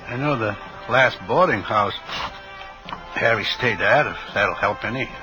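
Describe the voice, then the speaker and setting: A man's voice: on the low side, a little slow, lo-fi sound, lightly acted. An actor playing a folksy, pious reformed drug peddler in his fifties, rambling and easily distracted, in a 1950s radio drama. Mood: rambling, obliging. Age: 50s. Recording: old radio drama, music playing behind.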